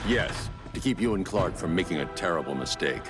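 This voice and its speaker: An actor playing a grim, guarded middle-aged vigilante billionaire in a video game, speaking in terse, stern, deliberate lines. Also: male voice, low voice, lively intonation, loud music behind the voice. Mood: stern, urgent. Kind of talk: dramatic video game acting.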